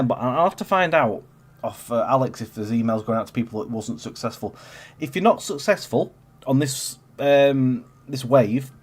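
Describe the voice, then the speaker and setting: A man in his thirties with a stuffy, congested voice, casually chatting as he hosts a gameplay livestream, rambling with lots of fillers. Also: medium pitch. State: congested with a cold. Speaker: stream host.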